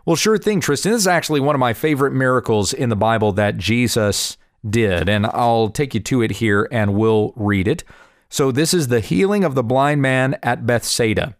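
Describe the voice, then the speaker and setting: A man in his forties, slightly hoarse from allergies, earnestly explaining Bible passages and answering viewer questions. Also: mid-range voice, expressive, normal speed, dry recording, studio quality. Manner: earnest, explanatory, reads scripture aloud. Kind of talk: casual Bible teaching video. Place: home in Kansas.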